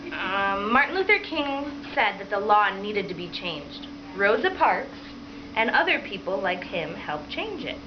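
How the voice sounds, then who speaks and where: A woman's voice: mid-range voice, sing-song, measured pace. Woman teacher reading aloud, dull noisy recording. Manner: prompting, question-filled, teacherly. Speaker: primary school teacher. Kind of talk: reading a picture book aloud to children, stopping to question them. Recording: dull lo-fi sound with clear background noise.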